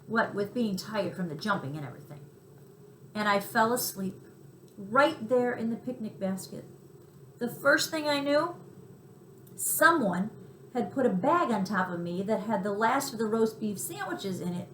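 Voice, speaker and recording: A woman's voice: medium pitch, animated, moderate pace, a bit bright, echoey room, slight background noise, close to an everyday voice, rough recording. A woman voicing a polite, earnest male cricket who tells his adventure in a mild, chatty way, in a children's story. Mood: confessing.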